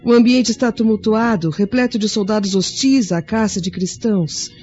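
A woman playing a worried young Roman wife in a radio drama, speaking earnestly with a breathy voice. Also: mid-range voice, moderate pace, mellow, natural voice. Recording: dull lo-fi recording with music.

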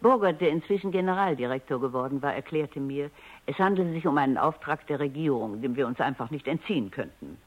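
An older woman scientist looking back on her career with dry irony. Her speech is precise and sharp-witted, in radio drama style. The recording is a dull, dated broadcast. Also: deep voice, expressive, quick pace. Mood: wry reminiscing. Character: sharp, dryly ironic elderly woman robot psychologist, reminiscing.

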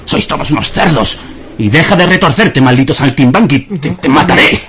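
Actor as an overwrought, vengeful would-be writer, animated delivery. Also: male voice, a little high, normal speed, very noisy, close to an everyday voice. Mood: bitter, ranting. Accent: Spain. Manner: menacing, overwrought, theatrical. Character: exasperated, vengeful would-be writer with murderous grudge. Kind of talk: radio comedy drama acting.

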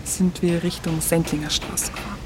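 Actress as munich woman telling war memories, bavarian accent, lowish voice, halting n wry, faint noise. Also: flat delivery, natural voice. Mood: wry, chatty. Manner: halting, searching, conversational. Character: Munich woman recalling wartime events, plainspoken and wry. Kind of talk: colloquial first-person narration in radio play.

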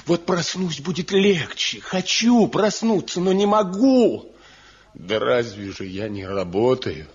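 A tormented master craftsman speaks in a rather low, husky voice. He is bitter and despairing, weighing each rhetorical phrase very slowly. It is an old Soviet radio play with muffled, lo-fi sound. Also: sing-song, heavily acted. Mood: anguished. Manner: anguished, slow, rhetorical, heavy with bitterness. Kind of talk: Soviet radio drama acting. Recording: dull lo-fi archival 1970 radio recording.

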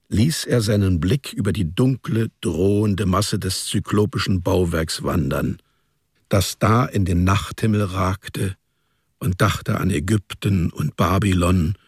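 A man's voice: low-pitched, husky, no echo, clean studio sound. A man reading literary narration as the storyteller of a radio drama.

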